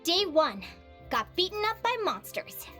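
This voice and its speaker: Bubbly, very high-pitched young adventurer girl. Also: big pitch swings, unhurried, sharp tone, faint music behind, heavily acted. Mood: brisk, chipper. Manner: bubbly, wide-eyed, talks to herself. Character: eager, dreamy young female adventurer longing to sail. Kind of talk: video game voice acting, monologue.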